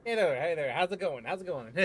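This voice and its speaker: A man's voice: fairly high pitch, animated, a little fast, fairly bright tone. A man giving casual, slangy live commentary as he streams a game, reacting as he goes. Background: game music.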